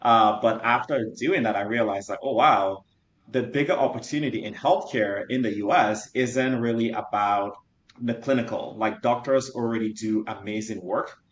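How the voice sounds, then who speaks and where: Young male founder from Cameroon, lowish voice, earnest storyteller, roomy echo. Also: normal speed, highs cut off. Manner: earnest, detailed storytelling with fillers. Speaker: startup founder. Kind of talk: interview answers. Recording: roomy echo, faint rumble.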